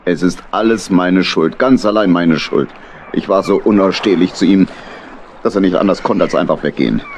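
Deep-voiced, sorrowful badger uncle. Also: male voice, darkish tone, slight background noise. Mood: remorseful, worried. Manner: sorrowful, sighing, drawn-out. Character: grown male badger uncle, remorseful, worried, good-hearted. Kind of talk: children's audio play acting.

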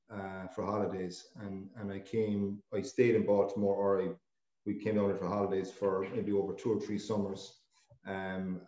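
A man's voice: lowish voice, mellow. A man in his thirties with a Cork accent, a guest talking casually with many fillers and restarts, recorded in an office room. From Ireland. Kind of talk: casual interview. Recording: echoey lo-fi room.

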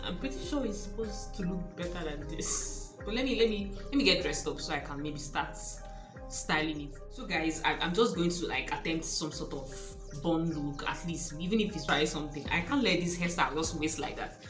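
Chatty Nigerian woman, low voice, echoey room. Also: normal speed. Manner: chatty, laughing often, unsure and self-teasing. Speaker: vlogger. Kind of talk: casual hair vlog. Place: small room indoors, rain outside. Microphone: camera close, at arm's length. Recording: echoey room with background music.

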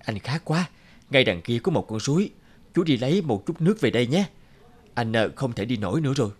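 A man playing a scheming, lazy, cowardly elder brother in an animated folk tale, feigning weariness and stammering when scared. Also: mid-range voice, fairly quick, breathy edge. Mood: weary, wheedling.

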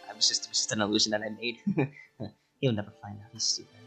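Man as a bright-voiced scheming elf sorceress. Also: on the low side, flat delivery, husky, soft background music, full character voice. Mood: smug. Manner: halting, smug, conspiratorial. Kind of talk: improvised comedy fandub.

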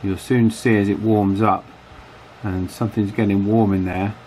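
Man, lowish voice, casual workbench demonstration, hesitant thinking aloud, audible fan noise behind. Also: flat delivery, normal speed, darkish tone, rough recording. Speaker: electronics repair hobbyist presenter. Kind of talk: casual hands-on repair demonstration. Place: small indoor office workshop. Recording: clear background noise, wind from fan. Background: table fan wind noise.

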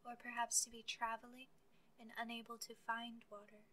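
A woman leading a guided meditation, speaking gently and calmly as she gives soft scripted instructions. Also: fairly high pitch, moderate pace, a little hiss or hum.

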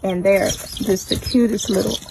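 Slow-talking woman outdoors. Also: medium pitch, fairly even intonation, gravelly. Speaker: backyard chicken owner. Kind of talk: casual phone-video commentary. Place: outdoors in a fenced backyard. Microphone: handheld phone behind the camera. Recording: noisy outdoor phone recording with birds. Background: chickens and chirping birds.

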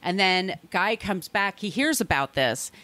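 A woman co-hosting a podcast, retelling a story in an animated way with quick acted-out quotes. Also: mid-range voice, no echo. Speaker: podcast co-host. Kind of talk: casual book discussion.

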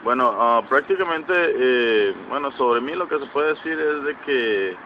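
A man's voice: medium pitch, animated, measured pace. A man in his twenties from the Atlantic coast of Nicaragua, a reggaeton artist answering interview questions outdoors with many fillers and restarts. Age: 20s. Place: outdoors by a sports field in a city. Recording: lo-fi with street and traffic noise.

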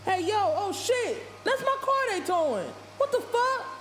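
A woman's voice: very high-pitched, sing-song, a little slow, slight background noise, pitched above the performer's own voice, heavily acted. A woman voicing a restless, streetwise young man in an audiobook, slangy and urgent. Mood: outraged. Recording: music bed under the voice.

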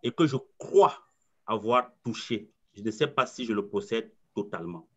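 A man's voice: fairly low pitch, expressive, moderate pace. A man in his forties from Cameroon, a coach giving an online presentation in an earnest, self-assured way, often repeating words as he thinks. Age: 40s. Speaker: coach and trainer. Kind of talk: webinar presentation. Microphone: video call. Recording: slightly muffled video call audio.

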